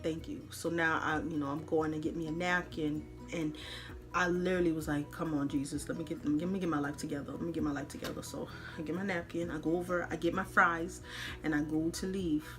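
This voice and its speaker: A woman podcast host telling a personal story in an animated, colloquial way, dramatically acting out the dialogue. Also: low-pitched, brisk, faint music behind.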